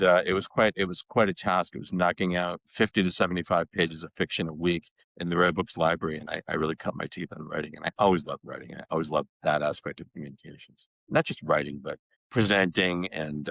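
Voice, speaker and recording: A man in his sixties from Boston with a very low, deep voice talks about his past. He speaks in a reflective, conversational way, often repeating words and adding "you know." The recording is dry and close. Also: vocal fry, a bit bright, dry recording. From Boston, United States. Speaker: guest, educational consultant. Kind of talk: conversational storytelling.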